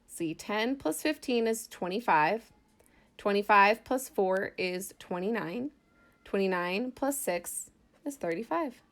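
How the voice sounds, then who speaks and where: A woman's voice: mid-range voice, animated, slow pace. A woman math teacher giving an informal lesson, thinking aloud as she explains worked examples step by step in a casual way.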